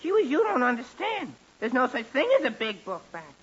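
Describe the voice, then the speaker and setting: A man's voice: very high voice, wildly swooping pitch, a little fast, a bit bright, husky, highs cut off, exaggerated voice. An actor playing a cheeky, literal-minded American teenage boy in an old-time radio comedy, comically matter-of-fact.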